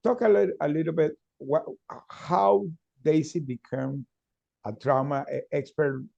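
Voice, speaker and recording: Gravelly male host. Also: on the high side, steady tone, warm tone, dry recording, dull audio. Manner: halting, repeats words, laughs easily. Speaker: show host. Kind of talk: conversational interview.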